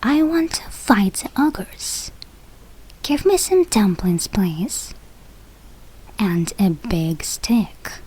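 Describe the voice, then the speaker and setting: Storybook boy hero, read by a woman, non-native English, brave and polite, breathy and earnest, extremely unhurried. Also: mid-range voice, very animated, dark tone, exaggerated voice, rough recording. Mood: earnest, pleading. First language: not English.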